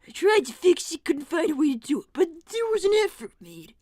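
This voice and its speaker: A woman's voice: sits high, very animated, a little fast, darkish tone, no room sound, studio quality. A woman voicing an exasperated young fox inventor in a casual, argumentative improvised livestream roleplay. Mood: casual, explaining.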